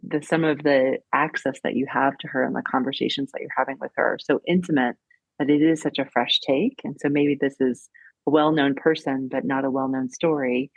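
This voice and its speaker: A podcast host poses long, carefully built questions to a guest in a measured way. The sound is dry and close, with a dull, lo-fi quality. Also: medium pitch, no room sound. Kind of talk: interview questions.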